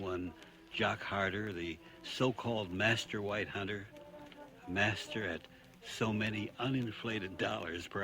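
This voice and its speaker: A man playing a skeptical playwright, narrating his safari in measured, wry phrases for a radio drama. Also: sits low.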